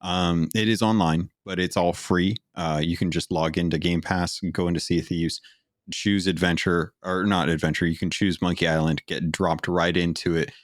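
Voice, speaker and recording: A man's voice: low-pitched, steady tone, normal speed, no echo, studio quality. A man co-hosting a gaming podcast, chatty and eager, with lots of fillers as he recommends games. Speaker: podcast co-host. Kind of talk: casual podcast chat.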